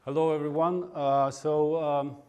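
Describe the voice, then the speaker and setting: A man from Russia with a Russian accent giving an informal technical talk at a meetup, in a halting, hesitant way with frequent pauses. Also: on the high side, fairly even intonation, darkish tone, clean tone, lo-fi sound. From Russia (Moscow). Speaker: conference speaker, web developer. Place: indoor meetup room in front of an audience.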